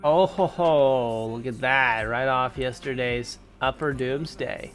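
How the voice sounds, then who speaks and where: A man's voice: medium pitch, takes it slowly, a bit bright, soft background music. A young man hosting a live stream in casual, slangy chat, sounding stuffed-up and nasal from allergies. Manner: laid-back slangy chatter. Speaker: livestream host.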